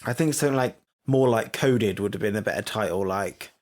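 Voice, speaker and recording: A man's voice: fairly low pitch, brisk. A man co-hosting a casual podcast chat in a playful, teasing way. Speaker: podcast co-host.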